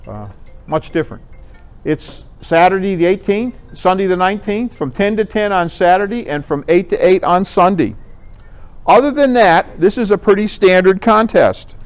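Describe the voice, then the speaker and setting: An American man giving an informal presentation, explaining things conversationally and pausing to rephrase. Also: high-pitched, expressive, faint music behind. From Connecticut, United States. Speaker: amateur radio contest organizer, presenter. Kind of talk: informal club presentation with slides.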